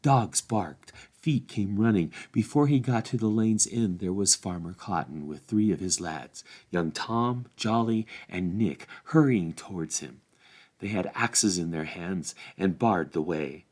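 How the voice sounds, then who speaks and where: A man narrating a fantasy novel aloud in an expressive storybook style. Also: deep voice, measured pace. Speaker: volunteer story reader.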